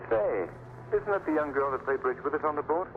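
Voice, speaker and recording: An actor playing an assured, reassuring gentleman traveler in an old radio drama. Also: a little high, lively intonation, a little fast, natural voice. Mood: calm. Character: confident young gentleman traveler. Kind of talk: 1930s radio acting. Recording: tinny, hissy old radio broadcast.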